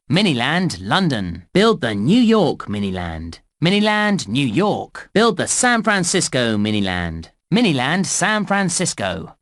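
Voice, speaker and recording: An actor playing a cheerful male park announcer, giving scripted narration and clear instructions to young players in a children's game. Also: mid-range voice, no room sound, clean studio sound. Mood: bright, instructive. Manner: upbeat presenter delivery, clear instructions.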